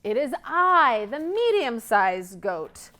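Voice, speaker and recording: A woman's voice: on the high side, big pitch swings, takes it slowly, pushed and bright, full character voice. A woman voicing a medium-sized billy goat in a storybook, nervous and pleading as it bargains.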